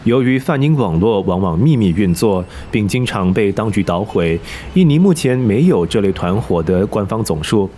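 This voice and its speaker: A man's voice: on the low side, expressive, normal speed, few pauses, dark tone, slight background noise. A man reading scripted narration for a news documentary. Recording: faint music bed underneath.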